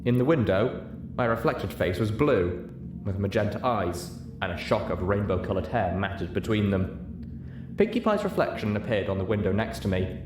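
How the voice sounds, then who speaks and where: A narrator reading a story aloud in an expressive, scripted style. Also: deep voice, moderate pace. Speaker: audiobook narrator. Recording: roomy echo, clearly noisy background.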